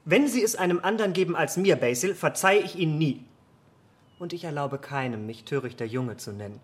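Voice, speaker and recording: Casting: male actor for impetuous, vain young man, radio play, lightish high voice, fervent and melodramatic. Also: expressive, fairly quick, lightly acted. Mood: indignant. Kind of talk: German radio play acting.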